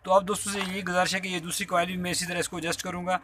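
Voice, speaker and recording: A man's voice: sits high, level tone, racing, slight background noise. A man from Azad Kashmir with a Pakistani accent, explaining a hands-on repair step by step in a polite, informal, repetitive way into a handheld phone. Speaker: repair tutorial host. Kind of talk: informal hands-on tutorial narration. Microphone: handheld phone while working.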